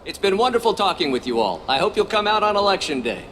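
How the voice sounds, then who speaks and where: An actor playing a glib politician in a video game, with a practised, glad-handing manner. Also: male voice, high voice, very animated, fast talker, fairly bright tone, slight background noise. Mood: polished, upbeat. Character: glib male politician greeting voters.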